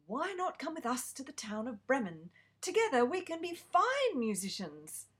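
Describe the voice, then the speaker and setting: A woman voicing a hopeful male donkey in a storybook, loud and cheerful. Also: high voice, wildly swooping pitch, moderate pace, slightly rough, voice pushed up, heavily acted. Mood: eager.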